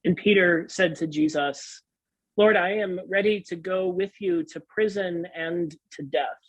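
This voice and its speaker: A pastor reads scripture in a solemn, dramatic style, with earnest and deliberate delivery. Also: mid-range voice, dry recording, poor audio.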